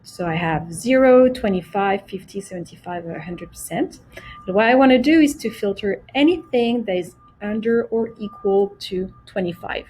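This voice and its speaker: A woman presenting a casual software tutorial, explaining each step simply and speaking directly to the viewer. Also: mid-range voice, expressive, moderate pace, soft background music, poor audio. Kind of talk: casual screen-share tutorial.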